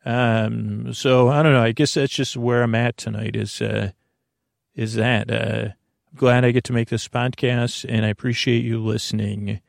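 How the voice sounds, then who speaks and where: A man hosting a bedtime podcast in a halting, meandering, earnest and reassuring ramble, with a gravelly voice, close on the mic. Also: medium pitch, little pitch movement, no echo. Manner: halting, meandering, trailing off mid-sentence. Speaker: podcast host.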